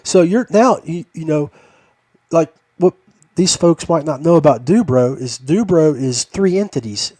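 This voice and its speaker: Guy hosting a podcast, kinda high voice, folksy and upbeat, dry close sound. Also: expressive, a little slow, darkish tone, no room sound. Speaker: podcast host. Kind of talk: casual podcast chat with a guest.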